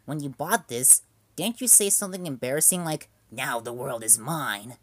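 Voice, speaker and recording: A man voicing a stern, bossy older sister who scolds in a cold, flat way. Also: mid-range voice, normal speed, no echo.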